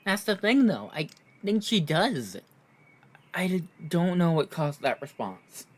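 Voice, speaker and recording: A man's voice: high-pitched, sing-song, a little slow, exaggerated voice. An actor playing an earnest, slightly awkward young male superhero in an audio drama, hesitant and sincere, sometimes stammering. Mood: hesitant, concerned.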